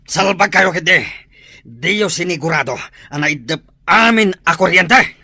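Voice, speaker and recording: An actor playing a bossy, panicky bandit in a radio drama, barking orders and scolding. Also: male voice, high-pitched, lively intonation, a little slow, sharp tone, husky, dry recording, exaggerated voice. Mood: angry scolding.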